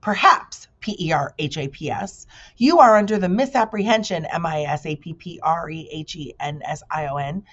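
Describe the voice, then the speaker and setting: A woman's voice: deep voice, moderate pace, highs cut off. A woman voicing an enormous, boastful male bee in a children's storybook, fussy and fond of spelling words out letter by letter. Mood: reassuring, pompous.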